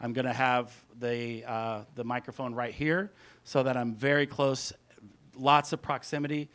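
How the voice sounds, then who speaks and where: A man's voice: medium pitch, level tone, moderate pace, fairly bright tone. A man, a music producer, giving an informal, rambling hands-on presentation, talking through each step aloud as he goes. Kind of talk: casual hands-on audio production talk.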